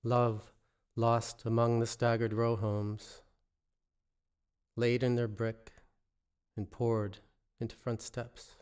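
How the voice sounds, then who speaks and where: A man reads his own poetry in a measured, deliberate way, pausing between short phrases. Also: mid-range voice, flat delivery, a little mellow, clear, resonant tone. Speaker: poet reading own work. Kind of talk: poetry reading. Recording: musical score under the voice. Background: original instrumental music.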